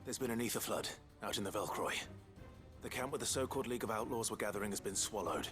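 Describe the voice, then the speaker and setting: Actor as low, hushed, stoic hero. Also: male voice, lightly acted. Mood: grave warning. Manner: measured, grave, sincere. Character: stoic, steadfast, low-voiced heroic lord. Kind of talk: dramatic video game acting. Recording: loud music behind.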